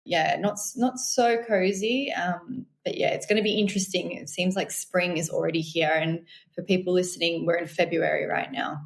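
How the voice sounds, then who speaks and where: A woman's voice: fairly low pitch, steady tone, normal speed, gravelly, hardly any pauses. Chatty Australian woman. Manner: friendly, chatty, laughs easily. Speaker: wine educator and tour leader. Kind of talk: casual podcast chat.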